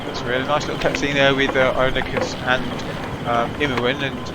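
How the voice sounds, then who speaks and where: A man hosting a let's play, giving halting game commentary as he thinks aloud and reads dialogue. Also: medium pitch, little pitch movement, very noisy. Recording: loud game music behind voice.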